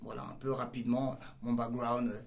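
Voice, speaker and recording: This is a man with a French accent from France, answering an interviewer as a soccer coach. His voice sits at a medium pitch for a man. He talks with frequent pauses and hesitations. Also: flat delivery, creaky. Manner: hesitant, many pauses and fillers.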